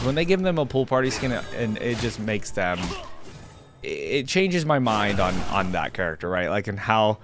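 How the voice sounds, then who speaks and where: A man hosting a reaction video, giving casual, conversational game commentary and thinking aloud as he goes. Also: mid-range voice.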